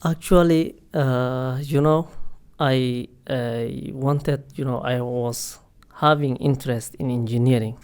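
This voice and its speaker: A man guesting on a podcast, halting as he speaks in a middle-pitched voice. He leans on "you know" and "uh" over and over, keeping the chat casual and searching. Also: fairly even intonation, darkish tone, smooth. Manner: hesitant, heavy use of "you know" and "uh" fillers. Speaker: guest, international student office staff.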